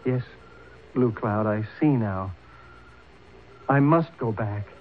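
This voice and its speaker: Proud young man, tinny radio. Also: fairly low pitch. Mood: troubled, earnest. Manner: formal, deliberate phrasing. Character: proud, earnest young man torn between two peoples. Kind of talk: old-time radio drama acting. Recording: tinny, hissy old radio broadcast.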